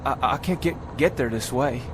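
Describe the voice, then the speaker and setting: An actor playing a bewildered, desperate pioneer settler lost in time, stammering in old-fashioned rustic phrases in a television drama. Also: male voice, medium pitch, brisk, natural voice. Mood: pleading. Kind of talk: mid-century television drama acting. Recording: noisy old television soundtrack.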